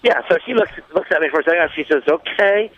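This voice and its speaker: A man's voice: fairly high pitch, quick pace, no echo, muffled recording. A man telling a casual comic anecdote on talk radio, rambling along and acting out the dialogue as he goes. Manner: rambling storyteller, acts out quoted dialogue. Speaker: show guest telling a story.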